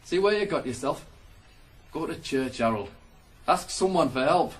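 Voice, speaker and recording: Male actor as gruff wagoner, radio drama: highish pitch, proud, needling, grumbling, blunt working man. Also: expressive, moderate pace, close to an everyday voice. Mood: spiteful. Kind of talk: 1980s radio drama acting.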